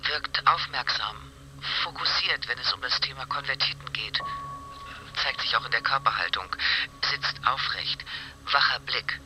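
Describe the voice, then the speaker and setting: An actor playing a clinical, observant therapist dictating patient notes in a radio drama, clipped and telegraphic. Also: male voice, medium pitch, moderate pace, pushed and bright, slight background noise, rough recording. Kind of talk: German radio drama acting.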